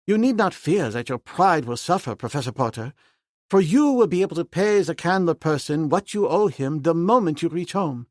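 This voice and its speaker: A male narrator gives voice to a steady, decisive young hero raised in the jungle, speaking plainly and firmly. The voice sits a little high and moves expressively. Close, dry storybook reading. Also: normal speed, no room sound, lightly acted. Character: calm, firm young man raised in the jungle. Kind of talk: audiobook character voice.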